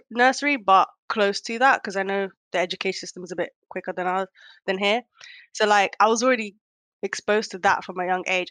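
A woman's voice: mid-range voice, fairly bright tone, no echo. A young woman born in Kenya, speaking reflectively in a casual conversation, with lots of "um"s. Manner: reflective, many fillers.

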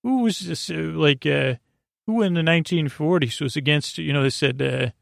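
Meandering, creaky-voiced man rambling at bedtime. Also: a little high, no room sound, clean studio sound. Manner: meandering, hesitant, halting with frequent stammers and trailing tangents. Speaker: podcast host. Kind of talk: rambling, whimsical bedtime storytelling monologue.

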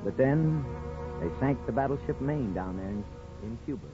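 Man acting earnest old-fashioned husband, sincere, halting, 1950s radio drama, muffled recording, faint music. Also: medium pitch, expressive, natural voice. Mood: nostalgic narration. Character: earnest small-town Midwestern husband, devoted, old-fashioned.

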